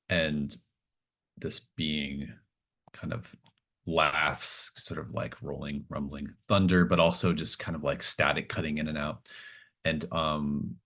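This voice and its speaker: A man's voice: very deep voice, a bit bright. A man acting as game master, improvising tabletop narration in a hesitant, thinking-aloud manner.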